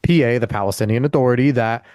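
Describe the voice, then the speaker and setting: Young male podcast co-host, dry close sound, even-toned conversational questioner. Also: medium pitch, fast talker, no echo. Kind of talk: podcast interview.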